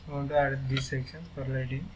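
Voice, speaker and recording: A man with an Indian English accent walking through a software tutorial, speaking haltingly as he clicks through steps. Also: medium pitch, level tone. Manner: halting, thinking aloud as he clicks. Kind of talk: screen-recorded software tutorial. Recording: noisy screen recording.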